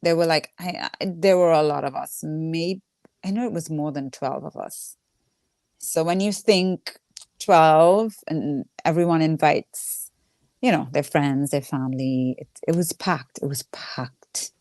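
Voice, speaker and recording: A woman's voice: low-pitched, a little slow, darkish tone, no room sound. A woman with a thick Trinidadian accent speaking candidly in a casual interview as a stand-up comedian, acting out reactions as she tells stories.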